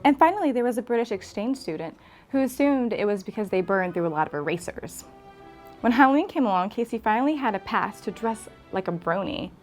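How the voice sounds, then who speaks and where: A woman's voice: medium pitch, animated, a little fast, darkish tone, faint music behind. A woman reading a scripted comedic story as a narrator. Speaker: guest storyteller.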